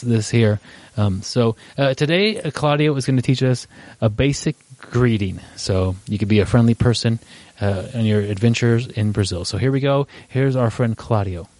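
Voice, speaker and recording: A man's voice: mid-range voice, normal speed, a little mellow, dry recording. A man hosting a podcast in a casual, rambling way, with many fillers and restarts, laughing easily. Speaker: podcast host.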